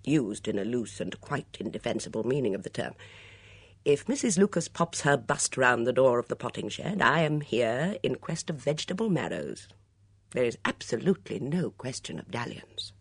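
A woman's voice: rumbling low, big pitch swings, normal speed, gravelly, breathy edge, no echo, exaggerated voice. A woman voicing a glib, teasing young man in love, with flowery, mock-formal speech in an audiobook story. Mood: airy, pompous.

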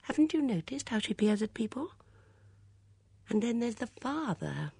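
A woman voicing a shrewd, gentle elderly English spinster in an audiobook, with a mild, absent-minded murmur. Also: a little high, very animated, moderate pace, gravelly, breathy edge, no room sound, voice pushed up, full character voice. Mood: musing.

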